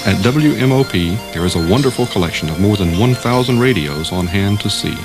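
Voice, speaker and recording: A man's voice: lowish voice, animated, a little fast. A man reading scripted documentary narration in the role of narrator. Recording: music behind the voice. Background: instrumental music.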